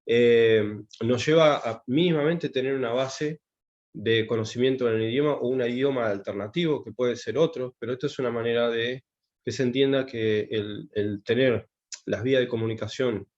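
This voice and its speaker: A man, talking at a middle pitch as he gives an online class. He hesitates often and restarts his sentences. The sound is dull and lo-fi, like video call audio. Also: moderate pace, darkish tone. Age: 50s. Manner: hesitant, many fillers and restarts. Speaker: taekwondo instructor teaching English.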